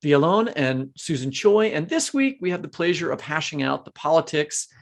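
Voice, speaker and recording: A man co-hosting a podcast in a conversational, joking style that turns halting and rambling off script. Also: fairly high pitch, expressive, gravelly. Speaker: podcast co-host.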